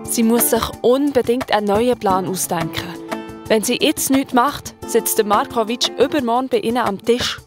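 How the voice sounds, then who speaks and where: A woman's voice: fairly high pitch, moderate pace, music in the background. A woman with a Swiss accent narrating a children's story in a colloquial style.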